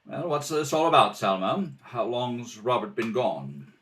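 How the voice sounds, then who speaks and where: Man as a wry, wisecracking retired detective, animated. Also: medium pitch, measured pace, a little hiss or hum. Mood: awkward, probing. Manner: dry, wisecracking. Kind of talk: radio play acting. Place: kitchen table at home.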